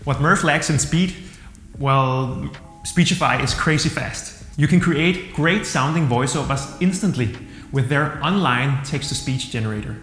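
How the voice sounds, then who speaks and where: A man in his thirties with a Danish accent reviewing software to camera in an upbeat, plain-spoken way. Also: mid-range voice, a little slow. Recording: roomy hall-like echo with soft music.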